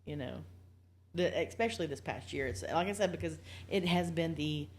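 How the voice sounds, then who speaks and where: Low-voiced woman in casual chat, noisy background. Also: normal speed. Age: 40s. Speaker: podcast guest.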